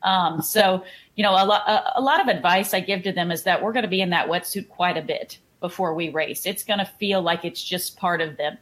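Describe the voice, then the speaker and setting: A woman's voice: lowish voice, fairly quick, fairly bright tone. A woman with a Texan accent, a triathlon coach chatting in a podcast interview and often repeating words as she starts a thought. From Houston, Texas.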